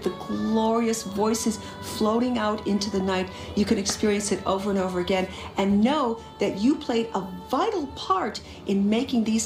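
A woman's voice: mid-range voice, reverberant. A woman hosting a TV fundraising break, interviewing singers outdoors with an emphatic, gushing manner. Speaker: pledge drive host. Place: outdoors on castle grounds by a lake.

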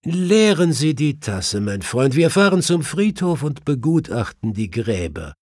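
Male reader as self-assured gentleman detective, audiobook, husky, decisive, commanding, lively intonation. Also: medium pitch, unhurried, no echo, studio quality, voice pushed up. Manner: decisive, reassuring.

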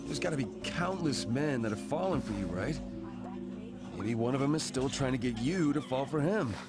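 A man's voice: mid-range voice, expressive, moderate pace, natural voice. A man voicing an anxious, evasive young boyfriend in a video game cutscene, hesitant, stammering and flustered. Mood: nervous. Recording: loud music under dialogue.

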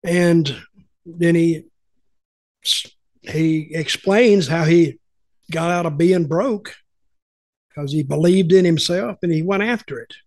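A man's voice: on the high side, takes it slowly, pauses often, darkish tone, dry recording. Older man telling rambling stories. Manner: rambling, folksy, opinionated. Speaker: podcast host and storyteller. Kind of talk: casual storytelling chat. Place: home room with lamp, video call.

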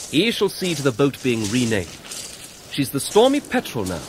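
An actor playing a breezy, proud young gentleman with an airy, careless manner in a radio drama. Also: medium pitch. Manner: airy, dismissive, laughing. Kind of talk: 1990s radio drama acting. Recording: clear background ambience noise.

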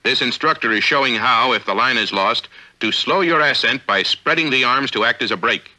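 Man, American accent, 1950s training-film narration, very bright forceful voice, authoritative, measured, thin vintage soundtrack. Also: mid-range voice, rough recording.

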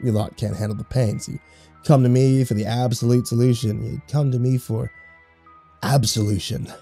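A man's voice: medium pitch, steady tone, normal speed, creaky, mellow, faint music behind, natural voice. A man voicing a smug, mocking male deal maker who speaks in a sly, theatrical drawl in a horror story. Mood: taunting.

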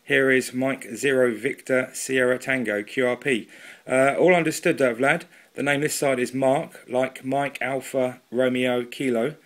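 A man's voice: mid-range voice, fairly even intonation, a little slow. A man with an English accent and a mid-range voice chats informally like a hobbyist making a home video. He tends to ramble and is a little self-deprecating, keeping everything casual. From England. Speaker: amateur radio hobbyist and video reviewer. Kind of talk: casual product review and radio chat. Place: indoors at a wooden table, off camera.

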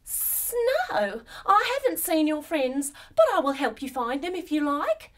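Storybook snake, played by a woman: hissy, kind, helpful, high-pitched, taking its time. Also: huge pitch swings, unhurried, full character voice. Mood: kindly. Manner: hissing, gentle. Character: nasty-looking but surprisingly nice, helpful snake.

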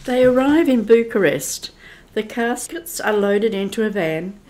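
Female narrator for an old-time radio play, medium pitch, scripted storytelling. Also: animated, a little slow, darkish tone, poor audio.

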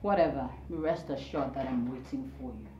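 A woman's voice: very deep voice, normal speed, noisy background. An actress playing a haughty, cold, demanding young girlfriend in a film drama, imperious and scolding. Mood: demanding, dismissive. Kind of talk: Nollywood-style film drama acting. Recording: echoey room, muffled, faint music.

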